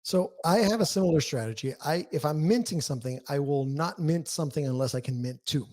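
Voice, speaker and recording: A man's voice: on the high side, lively intonation, fairly quick, darkish tone. A man speaking as a podcast guest, explaining things candidly and emphatically in casual interview talk. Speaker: podcast guest, newsletter writer.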